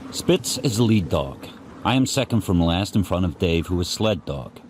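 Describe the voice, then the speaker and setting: An actor playing a grim, watchful sled dog who narrates his harsh life in spare, deliberate sentences for a radio play. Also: deep voice, animated, darkish tone. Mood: stoic. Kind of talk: first-person dramatic narration in a radio play. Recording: faint background noise.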